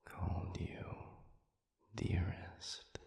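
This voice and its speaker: A man voicing a formal, intimate demon in an audio roleplay, speaking in a measured, breathy, gravelly whisper. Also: deep voice, completely flat delivery, a little mellow, reverberant, full character voice. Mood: puzzled, curious. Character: formal, sadistic male demon with lost memory, tender and curious.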